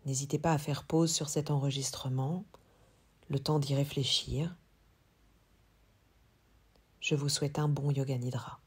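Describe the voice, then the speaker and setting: A woman yoga teacher leading a guided relaxation, speaking calmly and in a measured way with long pauses. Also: extremely low pitch, husky. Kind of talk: guided yoga nidra meditation.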